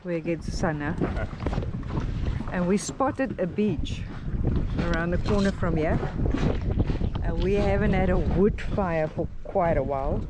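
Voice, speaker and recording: A woman's voice: lowish voice, unhurried, pauses often. A woman with a South African accent casually narrating a sailing vlog. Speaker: sailing vlogger. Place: outdoors on a boat at sea. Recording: windy boat noise, loud background. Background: wind, engine and water noise.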